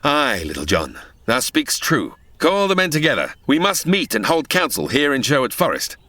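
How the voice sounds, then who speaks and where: Gallant outlaw leader for radio play: ringing, animated, dry close sound, archaic commanding delivery. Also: male voice, mid-range voice, normal speed, no room sound. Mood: impassioned, commanding. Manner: declamatory archaic speech. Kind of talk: old-time radio adventure acting.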